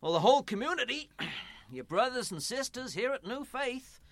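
Man acting a kindly pastor, bright and lively. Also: very high voice, normal speed, no room sound, exaggerated voice. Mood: encouraging. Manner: reassuring, pious, amiable. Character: kindly, encouraging, good-humoured church pastor. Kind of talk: radio play acting.

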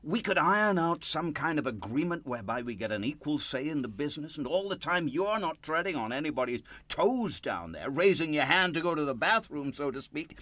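A man's voice: fairly high pitch, sing-song, brisk, hardly any pauses, fairly bright tone, no room sound. An actor playing a desperate, bitter, insistent man in a radio play. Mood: eager, persuasive. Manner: insistent, sardonic, emotionally volatile. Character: desperate, bitter man pleading and manipulating his pastor for money.

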